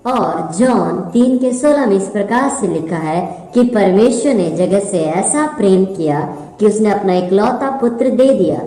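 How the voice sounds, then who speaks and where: A man's voice: high voice, animated, normal speed, mellow. A man voicing a cocky, teasing boy puppet who co-hosts a children's puppet show. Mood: earnest. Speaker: co-host. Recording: echoey, faint music behind. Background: faint music.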